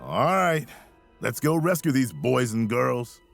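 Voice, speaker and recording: An actor playing a confident, commanding infantry colonel in a military video game, with informal soldier talk. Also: male voice, medium pitch, very animated, slow, gravelly, faint music behind, heavily acted. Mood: steady, focused. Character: gruff, confident mobile infantry colonel leading troopers.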